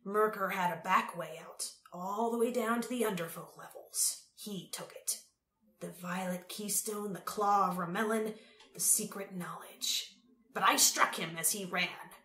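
A woman's voice: mid-range voice, a little slow, pushed and bright, reverberant. A woman voicing a drunken old male vizier in an audiobook, pompous and vindictive.

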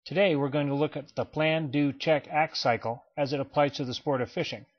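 A man giving a scripted voice-over for a training slideshow, in a measured, explanatory way. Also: fairly high pitch. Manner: measured, even explanatory delivery. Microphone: voice-over, not recorded in pictured place. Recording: dull lo-fi voice-over.

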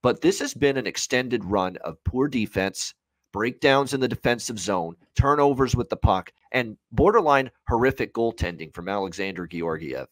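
A man's voice: medium pitch, expressive, moderate pace, no room sound, low-quality recording. A man in his thirties giving opinionated, emphatic sports betting commentary as an analyst, repeating phrases to drive points home. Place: indoor room at home, on a video call.

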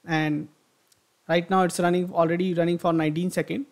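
A man gives a software tutorial over a screen recording. His voice sits slightly high and has a gravelly, creaky edge. He talks through each step as he goes, with many fillers and self-corrections. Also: flat delivery, a little fast. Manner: thinks aloud with frequent fillers and restarts. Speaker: software instructor.

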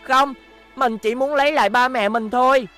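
A woman's voice: high voice, normal speed, a bit bright, soft background music. A woman voicing a brave, kind young robot boy hero in a cartoon dub, earnest and determined. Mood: defiant. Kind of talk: cartoon dubbing.

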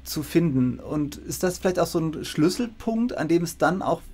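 A man's voice: a little high, expressive, normal speed, darkish tone. A middle-aged man hosting a conversational interview over a video call. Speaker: interviewer, host. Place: room with bookshelves, video call. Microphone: shared video call microphone.

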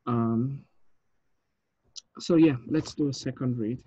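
Male director, thirties, casual table-read delivery, medium pitch, slightly lo-fi call sound. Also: slow pace, dark tone, husky, no room sound. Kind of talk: casual script reading and notes. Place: indoor room on a video call. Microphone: video call with earpiece. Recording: dull video-call audio.

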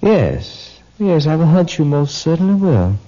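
An actor playing an affected, condescending society nephew in a 1950s radio drama. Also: male voice, fairly high pitch, big pitch swings, unhurried, background noise. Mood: suave, smug. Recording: dull lo-fi old radio broadcast.

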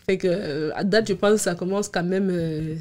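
Low-pitched woman explaining, halting and repetitive. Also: fairly even intonation, darkish tone. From abroad, immigrant to Canada. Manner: hesitant, repeats words as she explains. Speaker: podcast guest. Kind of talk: casual interview answers, explaining personal experience. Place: small indoor podcast studio. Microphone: studio microphone on boom arm with headphones.

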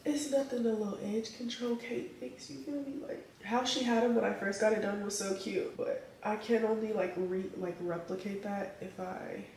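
A woman's voice: medium pitch, moderate pace, hardly any pauses, slight background noise. A young woman vlogging casually to camera, chatty and slangy, a little nasal and congested from allergies. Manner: chatty, animated, slangy asides to viewers. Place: indoors at home. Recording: roomy echo, a bit off-mic.

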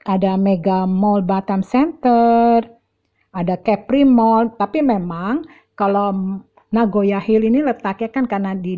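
Woman, middle-aged, medium pitch, chatty casual vlogging, repeats words, muffled lo-fi phone recording. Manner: chatty, addressing viewers directly, repeating words. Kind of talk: casual walk-and-talk shopping vlog. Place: large indoor shopping mall.

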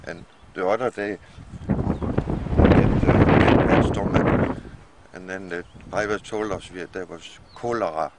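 A middle-aged man with a Danish accent, speaking hesitantly to a camera outdoors as a captive ship's officer. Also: sits low. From Denmark. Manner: hesitant, halting. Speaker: captive ship's chief mate. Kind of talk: halting plea to camera. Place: outdoors in bushland. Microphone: camera a short distance away. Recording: very noisy windy outdoor camcorder.

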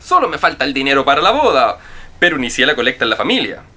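An actor playing a flippant, idle, money-grubbing young fiancé in a radio drama. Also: male voice, mid-range voice, sing-song, quick pace, bright, forceful tone. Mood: breezy, scheming.

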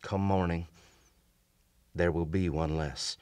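A low, deep male voice as a doomed gentleman soldier in a radio play. He is grave and formal, with old-fashioned courtesy, telling his haunted tale as both narrator and character. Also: steady tone, slow, smooth. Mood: grave, resigned. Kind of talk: radio drama acting and narration. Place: small indoor radio studio. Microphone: shared vintage-style studio mic on stand.

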